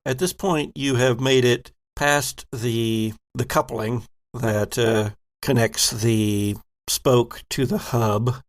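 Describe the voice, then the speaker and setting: A man running a tabletop game as game master, setting scenes with deliberate narration and frequent fillers. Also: mid-range voice, fairly even intonation.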